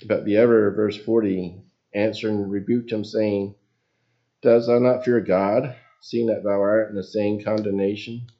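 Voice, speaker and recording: A man with a very low voice leading prayer and giving an informal sermon in a small church, reading scripture and losing his place now and then. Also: level tone, measured pace, highs cut off. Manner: folksy, loses his place and apologizes. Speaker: lay preacher. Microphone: PA microphone.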